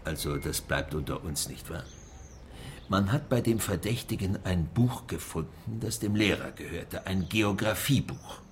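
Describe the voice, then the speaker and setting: An actor playing a defensive small-town French mayor in a radio crime drama, insistent and wary of outsiders. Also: male voice, low voice, lively intonation, measured pace, a little hiss or hum. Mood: confidential, defensive.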